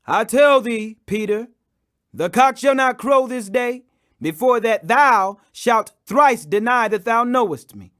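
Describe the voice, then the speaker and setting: Man plays grave male religious teacher: high voice, commanding, solemn, declamatory audiobook delivery. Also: slow pace, fairly bright tone, full character voice. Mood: grave, urgent.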